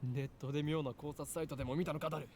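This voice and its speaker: Actor as a theatrical, suspicious young mad scientist. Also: male voice, a little high, steady tone, very fast, darkish tone, slight background noise, lightly acted. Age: young adult. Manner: theatrical, grave. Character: dramatic, suspicious young male self-styled mad scientist. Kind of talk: Japanese visual novel voice acting.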